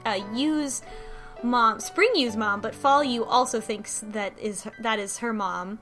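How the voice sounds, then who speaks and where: Higher-pitched woman recapping a story over music. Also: slow, fairly bright tone. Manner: informal, acts out characters' lines. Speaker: podcast co-host recapping a visual novel. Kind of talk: casual chat, plot recap from notes. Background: music under the talk.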